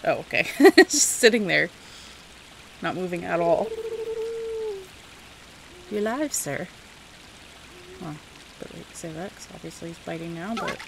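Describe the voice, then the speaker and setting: A woman's voice: lowish voice, lively intonation, unhurried, gravelly, pauses often, noisy background. A woman giving casual, chatty gameplay commentary as host, often talking aloud to the game's characters. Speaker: gaming video host.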